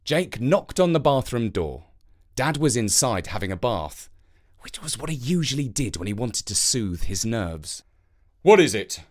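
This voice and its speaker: A narrator reading a scripted children's story with expressive, deliberate phrasing. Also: medium pitch.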